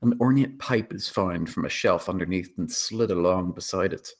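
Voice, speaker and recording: A man with a low voice narrates a screenplay. He reads the action and scene descriptions as dramatic scripted storytelling. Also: normal speed. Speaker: narrator reading stage directions.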